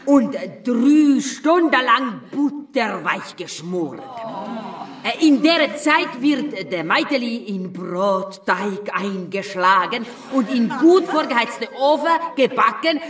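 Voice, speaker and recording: A woman's voice: on the high side, expressive, takes it slowly, far from the mic. Gleeful, drawling witch cook. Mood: relishing. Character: gleefully cruel witch cook savouring gruesome recipes. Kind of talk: children's radio play acting.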